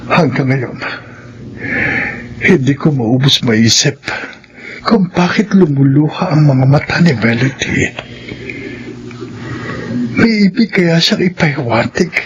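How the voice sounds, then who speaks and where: A grieving man racked with guilt, his high voice swinging with emotion. He pleads slowly in radio drama style, in a dull, lo-fi recording. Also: lively intonation. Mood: sorrowful, longing. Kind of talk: Filipino radio drama acting. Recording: dull lo-fi radio sound, faint noise.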